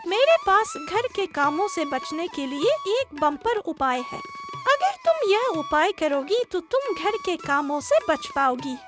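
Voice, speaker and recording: A woman's voice: very high voice, big pitch swings, unhurried, over music, heavily acted. A woman playing a chatty, scheming village neighbour in an acted cartoon moral tale. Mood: sly, persuasive.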